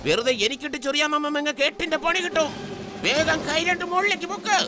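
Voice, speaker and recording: A man's voice: very high voice, fast talker. A man voicing a bossy, threatening cartoon bank robber who barks impatient orders. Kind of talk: exaggerated children's cartoon dubbing. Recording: music behind the voice.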